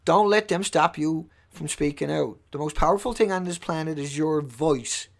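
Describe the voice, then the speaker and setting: Creaky, higher-pitched man livestreaming with urgency. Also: normal speed. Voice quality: squeaky voice. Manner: urgent, emphatic, repeating phrases for stress. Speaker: livestream host. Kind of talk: impassioned off-the-cuff livestream monologue.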